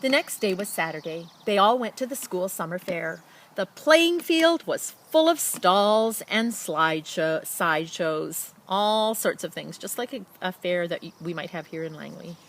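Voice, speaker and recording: A woman reads a children's picture book aloud expressively, with dramatic emphasis and pauses and casual asides to her listeners. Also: fairly low pitch, animated, a little hiss or hum. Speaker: storybook reader.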